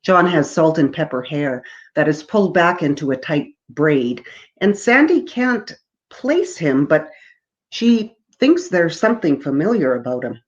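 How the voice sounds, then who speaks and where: Casting: female author from Canada, low register, storytelling with casual asides, slightly dull recording. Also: very animated, unhurried. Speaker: author reading from own novel. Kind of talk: storytelling reading with informal asides.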